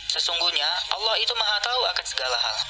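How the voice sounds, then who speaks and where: A woman's voice: on the low side, sing-song, quick pace, heavy background noise. A woman reading a scripted religious storybook narration, played back from an app. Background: loud background music.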